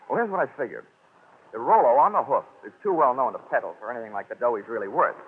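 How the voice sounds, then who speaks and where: An actor playing a wisecracking, cocky investigator with a dry, slangy American delivery in 1940s radio detective style. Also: male voice, mid-range voice, lively intonation, quick pace, close to an everyday voice. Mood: wry. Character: wisecracking, hard-boiled male investigator, cocky and sardonic. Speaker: lead actor and narrator of a detective serial. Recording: tinny, noisy old radio broadcast.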